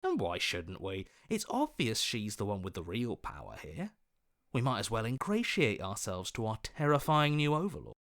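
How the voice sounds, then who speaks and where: A sly male opportunist from a video game. He is glib and fawning, with pitch that slides around expressively. It is recorded very dry. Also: huge pitch swings, normal speed, a bit bright, no room sound, heavily acted. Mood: scheming. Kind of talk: dramatic video game voice acting.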